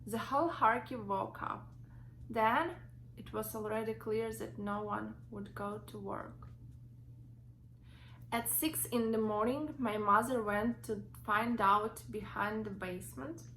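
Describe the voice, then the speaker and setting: A young woman with a Ukrainian accent, reading her own story from notes in a halting way with many fillers and restarts. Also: fairly high pitch, fairly bright tone, low-quality recording. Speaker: vlogger.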